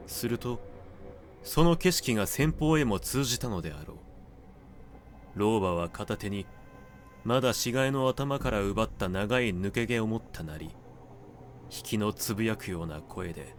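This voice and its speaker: A man narrating classic literary fiction from a script, in measured, deliberate dramatic phrasing. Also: lowish voice, expressive, soft background music.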